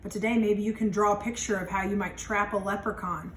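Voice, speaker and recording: A woman teacher reading a children's storybook aloud, pausing to explain things simply to young listeners. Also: mid-range voice, steady tone. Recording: roomy, distant mic, faint background noise.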